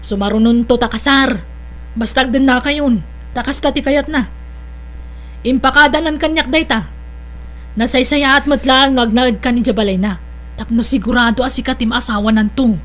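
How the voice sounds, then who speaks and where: A woman's voice: high-pitched, normal speed, fairly bright tone. A woman playing a bossy, meddling older sister in an Ilocano audio drama, insistent and probing in her manner. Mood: persuasive.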